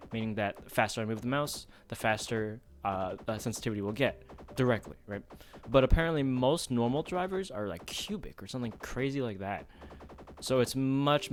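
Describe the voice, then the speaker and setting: Man, mid-pitched voice, casual livestream gaming chat, informal, self-deprecating, music in background. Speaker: streamer.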